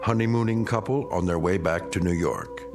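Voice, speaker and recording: Solemn, low-voiced mystery narrator. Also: male voice, lively intonation. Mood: knowing, ominous. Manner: measured, ominous, portentous. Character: solemn, knowing narrator of uncanny tales. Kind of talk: scripted radio drama narration. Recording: music behind the voice.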